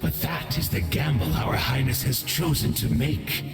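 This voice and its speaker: An actor playing a grandiose, scheming monstrous herald in a video game, speaking in a solemn, formal, declamatory way. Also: male voice, medium pitch, very animated, moderate pace, vocal fry. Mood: triumphant. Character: grandiose, scheming monstrous herald villain serving a royal master. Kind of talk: theatrical video game villain acting. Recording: long echoing reverb over background music.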